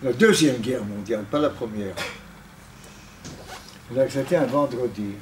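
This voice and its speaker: An older man, speaking French as an acquired language, gives a halting, careful talk to an audience. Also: medium pitch, a little hiss or hum. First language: not French. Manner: halting, precise, with frequent pauses. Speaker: guest speaker. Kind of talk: lecture to an audience.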